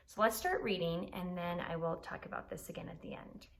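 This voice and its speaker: A woman's voice: lowish voice, brisk, noisy background. A woman teacher explaining things simply to young pupils and reading aloud, going back over key points.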